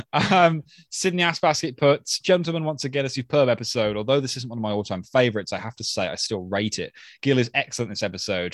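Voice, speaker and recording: A man's voice: medium pitch, dry recording. Male podcast host, mid voice, casual, dry close mic. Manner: easygoing, chatty, with fillers and laughs. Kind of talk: casual chat, reading listener mail.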